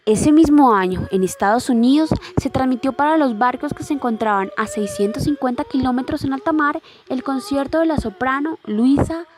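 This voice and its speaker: A woman's voice: high-pitched, moderate pace, few pauses. A woman reading a scripted documentary narration in a formal manner. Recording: loud music behind the voice. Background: music.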